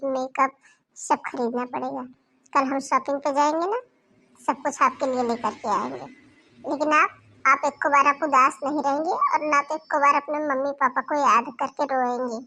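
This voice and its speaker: A woman voicing a caring young sister-in-law who talks gently and reassuringly. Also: high voice.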